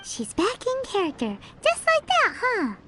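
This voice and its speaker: A woman voicing a tiny, chirpy, curious fairy girl companion in a video game, with a squeaky childlike voice. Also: huge pitch swings, measured pace, very noisy, full character voice. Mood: curious, teasing. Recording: loud game music behind voice.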